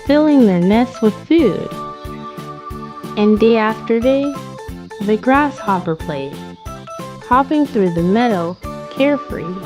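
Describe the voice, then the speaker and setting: A woman narrating a scripted fairy tale for children as a storyteller. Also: a little high.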